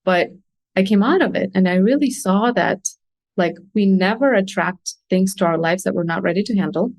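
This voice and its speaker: A woman's voice: medium pitch, normal speed, creaky, darkish tone, no room sound. Earnest woman, dry close sound. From Russia. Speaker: podcast guest, consultant and coach. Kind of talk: conversational interview explanation.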